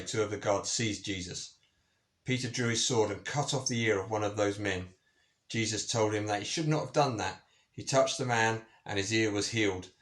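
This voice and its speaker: A man reading a children's Bible story aloud as scripted narration in a measured manner. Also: on the low side, fairly bright tone. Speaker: story reader.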